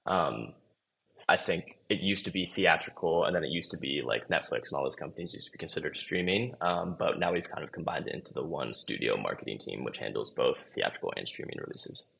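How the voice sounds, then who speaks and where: Low, gravelly young man, easygoing guest. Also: lively intonation, fairly quick, bright, forceful tone. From Los Angeles, USA. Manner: easygoing, loose and chatty. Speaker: podcast guest, marketing manager. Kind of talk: casual interview chat.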